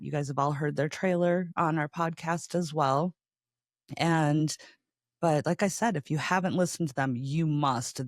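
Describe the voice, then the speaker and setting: A woman's voice: low voice, no echo, studio quality. A woman hosting a podcast in casual conversation, effusive and welcoming with her guests. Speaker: podcast host. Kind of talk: casual chat.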